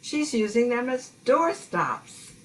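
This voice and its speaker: A woman as a high-voiced, earnest testimonial housewife. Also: sing-song, takes it slowly, fairly bright tone, slight background noise, full character voice. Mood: pleased, earnest. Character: pleased, earnest housewife giving a comic fruitcake testimonial. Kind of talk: amateur radio comedy ad acting.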